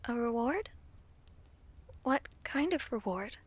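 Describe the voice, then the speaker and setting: A woman playing a gentle, lovesick vampire princess in an intimate romantic audio roleplay, speaking formally and tenderly in a soft, breathy half-whisper. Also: a little high, expressive, takes it slowly. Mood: coyly curious.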